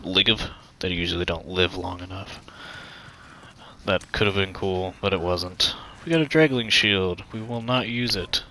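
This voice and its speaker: A man's voice: sits low, a little slow, pushed and bright, a little hiss or hum. A man with a somewhat nasal voice giving laid-back, joking commentary on a game walkthrough through a headset mic that pops and cracks. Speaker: gaming channel host.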